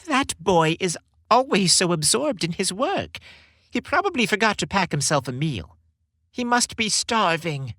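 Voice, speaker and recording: A man's voice: high voice, big pitch swings, unhurried, sharp tone, dry recording, studio quality, voice pushed up, exaggerated voice. A man voicing a doting, fretful elderly mother, Santa's wife, in a motherly, fussing manner for a story. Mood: worried.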